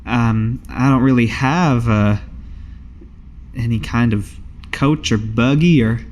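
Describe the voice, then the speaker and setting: A man's voice: mid-range voice, slow pace, darkish tone, a little hiss or hum, lightly acted. A man voicing a dejected, humble young female druid apprentice in a tabletop game, speaking in a hesitant, subdued way.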